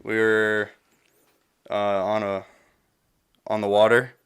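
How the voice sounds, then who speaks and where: A man's voice: fairly low pitch, monotone, slow, a bit bright, clear, resonant tone. A young American man chatting casually as a podcast guest, rambling through stories with joking, profane slang. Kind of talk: casual chat, rambling storytelling.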